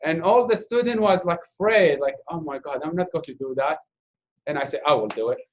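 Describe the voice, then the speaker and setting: Arabic-speaking man, halting English. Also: on the high side, slight background noise. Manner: halting non-native English with many fillers and restarts. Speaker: interview guest, doctoral student. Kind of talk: casual Q&A talk.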